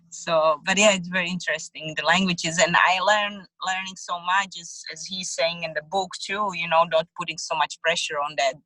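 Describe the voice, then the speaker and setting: Slow, hesitant woman, native Czech speaker. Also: fairly low pitch, sharp tone, no echo, rough recording. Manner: hesitant, many fillers and laughs. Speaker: parent in a book club. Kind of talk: casual group discussion. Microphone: video call.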